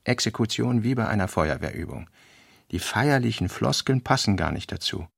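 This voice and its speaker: A man narrating a literary radio drama, reading fragmentary notes in measured, clipped, deliberate phrases. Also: fairly low pitch, lively intonation, gravelly, husky, no echo, clean studio sound.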